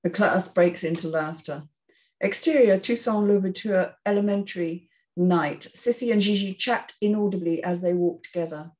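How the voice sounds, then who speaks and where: A woman's voice: mid-range voice, normal speed, a little mellow. A woman narrating stage directions at a screenplay table read, in a scripted, read-aloud style.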